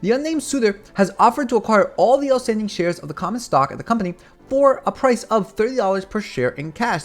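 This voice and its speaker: A man in his twenties, originally from Cuba, giving casual, rambling market commentary to his channel's viewers. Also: sits high. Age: 20s. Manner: rambling, animated, addresses viewers as guys. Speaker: finance YouTuber.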